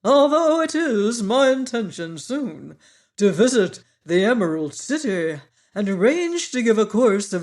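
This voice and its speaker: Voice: medium pitch, voice pushed up. A reader voicing a pompous, learned male insect who lectures in grand, formal phrases. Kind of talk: audiobook character voice.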